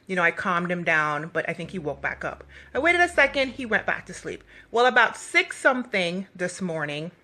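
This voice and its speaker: A woman's voice: on the low side, sing-song, normal speed, few pauses, sharp tone. A woman vlogger telling personal stories with a faith message, emphatic and fond of acting out dialogue and asking rhetorical questions. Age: adult parent of a young child. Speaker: vlogger giving a motivational faith talk. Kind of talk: animated personal storytelling with faith encouragement. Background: background music.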